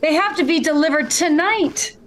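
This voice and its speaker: A woman's voice: high voice, normal speed, slight background noise, close to an everyday voice. A woman playing a practical, fretful mother in an old-time radio family comedy.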